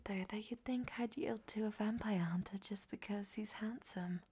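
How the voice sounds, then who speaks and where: Woman acting as seductive vampiress, breathy whisper, soft mellow tone, slow teasing delivery, vain and sly, intimate roleplay audio. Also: mid-range voice.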